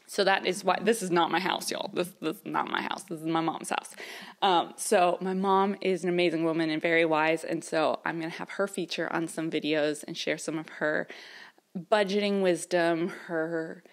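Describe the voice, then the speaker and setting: Woman in her thirties with a slightly low voice; casual, rambling vlog chat to camera. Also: steady tone, moderate pace. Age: 30s. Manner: chatty, rambling, with frequent fillers and small laughs. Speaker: vlogger. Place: her mother's house, indoors.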